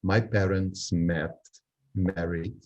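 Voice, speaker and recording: A man in his sixties from Angola, whose first language is Portuguese, reads from his life story. His voice is very low and dark, and he takes his time. The sound is a little dull and lo-fi. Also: fairly even intonation, takes it slowly. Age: 60s. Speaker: guest reading from his own story. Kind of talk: reading a memoir aloud.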